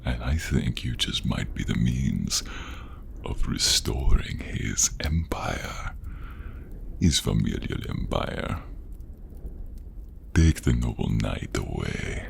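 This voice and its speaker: Gravelly, sinister fantasy inquisitor. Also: male voice, lively intonation, slow, long pauses, in a whisper, exaggerated voice. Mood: gloating menace. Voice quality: deep, gravelly, breathy. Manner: theatrical, savoring words, grandiose. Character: sinister, gloating royal inquisitor, fawning before his king. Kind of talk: fantasy audio role-play acting.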